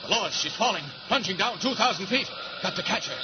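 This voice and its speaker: An actor playing a bold flying superhero in a 1940s radio drama, talking to himself in urgent clipped phrases. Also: male voice, sits high, animated. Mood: determined. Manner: urgent, clipped, narrating own actions. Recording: noisy muffled 1940s radio, rushing sound.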